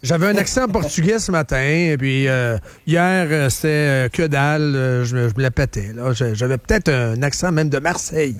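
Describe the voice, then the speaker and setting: A man's voice: medium pitch, moderate pace, few pauses, no echo. A man with a Québécois French accent hosting a radio show, playful and teasing. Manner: playful, teasing, laughs often. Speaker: radio host. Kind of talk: joking banter.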